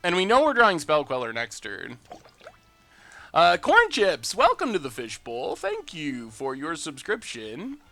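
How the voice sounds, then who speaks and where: Lively bright-voiced man streaming a card game. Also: a little high, a little slow, faint music behind. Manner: upbeat, chatty, reads and answers viewer messages. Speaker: streamer and gameplay commentator. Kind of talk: live-stream gameplay commentary with chat replies.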